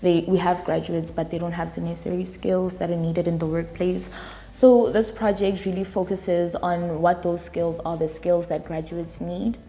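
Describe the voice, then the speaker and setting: A young adult woman from South Africa, a science student, speaks casually about her studies. Her voice is lowish and mellow, and she keeps checking in with "right?" as she goes. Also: little pitch movement, moderate pace. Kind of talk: casual interview.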